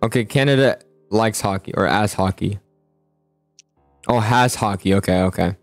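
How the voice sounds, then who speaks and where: A man's voice: fairly low pitch, moderate pace, no room sound, soft background music. A man chatting casually in an improvised game roleplay, rambling and slangy, calling people dude and bro. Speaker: video host playing a student in a game roleplay.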